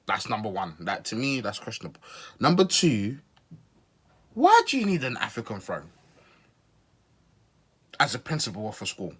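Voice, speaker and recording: A man's voice: lowish voice, huge pitch swings, moderate pace, long pauses, breathy edge. A man from Zimbabwe giving casual reaction commentary as a podcast host, incredulous and questioning, repeating his points for emphasis.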